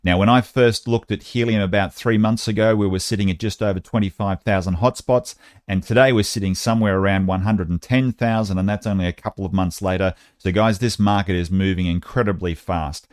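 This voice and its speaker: A man with an Australian accent and a low-pitched voice presents an online update. He speaks conversationally, addressing his viewers directly as he explains things. The sound is dry and close, with very little room in it. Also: steady tone, fairly quick, no echo. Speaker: YouTube channel host and presenter. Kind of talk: informal explanatory video presentation.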